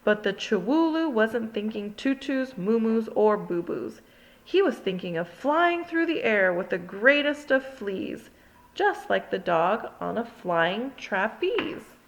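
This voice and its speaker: A woman reading a children's picture book aloud in an expressive, sing-song way. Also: on the high side, slow pace, dull audio. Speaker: storyteller.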